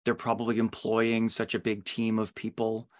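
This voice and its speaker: A man's voice: lowish voice, completely flat delivery, normal speed, a little mellow. A middle-aged man hosting a podcast interview in a conversational style.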